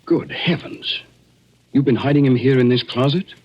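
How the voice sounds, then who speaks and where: An actor playing a sober, formal gentleman asking questions in a 1940s radio drama. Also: medium pitch, normal speed, breathy edge, lightly acted. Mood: shocked. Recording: muffled, hissy old radio recording.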